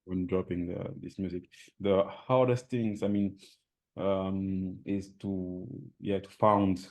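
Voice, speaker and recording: Soft-spoken low-voiced man, slight accent. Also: level tone, takes it slowly, vocal fry. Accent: slight foreign accent. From Switzerland. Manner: hesitant, many restarts, soft-spoken, laughs shyly. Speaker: musician guest. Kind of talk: interview answers.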